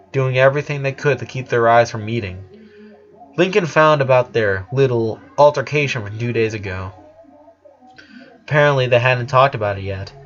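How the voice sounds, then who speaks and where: A man's voice: medium pitch, a bit bright, dull audio. A man reading a story aloud as narration, sometimes stumbling over his words and correcting himself.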